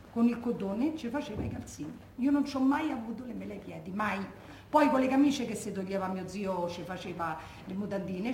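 Actress playing widow's grieving daughter, Roman accent, colloquial, emphatic, theatrical monologue, mid-pitched voice, roomy echo. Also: female voice, darkish tone, natural voice. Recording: echoey room, faint background noise.

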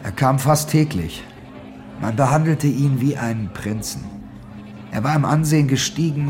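Actor as commanding radio-play narrator over music. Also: male voice, mid-range voice, close to an everyday voice. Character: domineering, territorial male narrator of a seedy city. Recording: music behind the voice.

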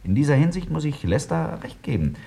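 An actor playing a bold, provocative scientist in a 1950s radio drama, speaking in a deliberate, didactic and emphatic way. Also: male voice, a little high, big pitch swings, gravelly, dark tone. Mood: explaining. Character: bold, provocative male scientist arguing a startling theory.

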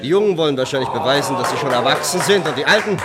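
An actor playing a flirtatious, cynical middle-aged doctor in a science fiction radio play, with a smooth, polished and provocative manner. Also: male voice, on the high side, expressive, racing, natural voice. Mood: cynical, world-weary. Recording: radio drama with loud music behind.

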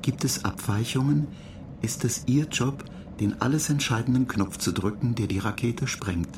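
Here is a polite, slightly touchy computer program, acted by a man, in a radio play. The voice is gravelly and mid-pitched, explaining things earnestly and with measured care. Noisy ambience runs behind it. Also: natural voice. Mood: matter-of-fact.

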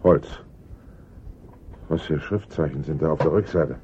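An actor playing a suspicious, impatient gentleman conspirator, urgent and commanding as he questions people. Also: a little slow. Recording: dull vintage radio recording, faint rumble.

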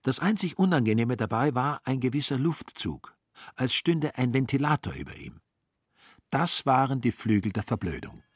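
A man narrating a literary radio play with dry irony in a slightly husky voice. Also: medium pitch, big pitch swings, moderate pace, dry recording. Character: dry, ironic male storyteller of a literary tale.

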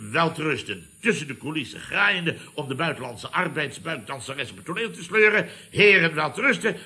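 A self-important, blustering boss in an old Dutch radio comedy, with a Netherlands accent. His voice is forceful, very sharp and somewhat high, and he hammers pompous phrases over and over. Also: heavily acted. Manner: blustering, repetitive, pompous catchphrases. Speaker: company boss and club chairman. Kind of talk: 1970s radio comedy acting.

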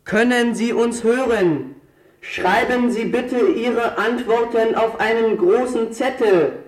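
A male actor playing an earnest boy in a radio drama, making declamatory announcements through a loudspeaker. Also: very high voice, slow pace. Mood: urgent, earnest. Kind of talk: German radio drama acting. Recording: echoey loudspeaker, distant from mic.

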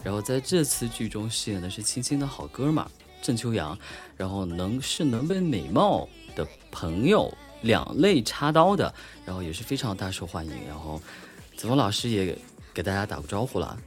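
A man hosting a casual radio drama chat, teasing and excitable, often stumbling over and repeating his words. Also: lowish voice, normal speed. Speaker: host of a radio drama fan talk. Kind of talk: casual chat with scripted questions. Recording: music behind the voice. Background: music.

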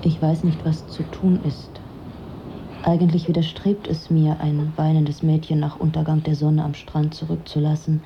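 A woman's voice: low-pitched, flat delivery, moderate pace, highs cut off, slight background noise. An actress playing a weary, detached, lonely woman who narrates her own story in a dry, introspective way for a radio drama. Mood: dry, reserved. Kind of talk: literary first-person radio drama narration.

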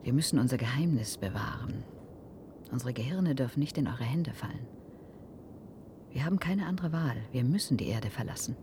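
An actress playing a detached, alien-possessed woman in a German radio play, solemn and measured, scornful of human feeling. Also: very deep voice, expressive, noisy background. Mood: cold, resolute.

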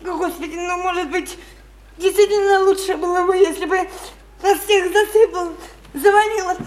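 A woman's voice: very high-pitched, steady tone, moderate pace, roomy sound. An actress playing a simple-hearted, devoted woman near thirty in a radio play, imploring and naively repeating herself. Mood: tearful pleading. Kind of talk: theatrical radio play acting. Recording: faintly noisy 1991 radio play recording.

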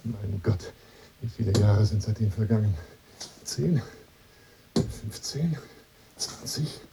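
An actor playing a lonely, boastful gentleman courting by telephone in formal, flowery German radio drama style, moving between murmurs and outbursts. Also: lowish voice, takes it slowly, creaky, heavy background noise. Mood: melancholy, nostalgic. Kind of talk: radio drama monologue as one side of a phone call.

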